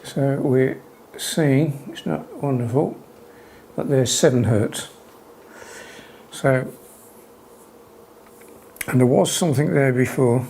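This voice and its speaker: A man giving a hobbyist's spoken equipment demonstration, calling out readings in a measured, deliberate, matter-of-fact way. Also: medium pitch, expressive, creaky, warm tone, a little hiss or hum, poor audio.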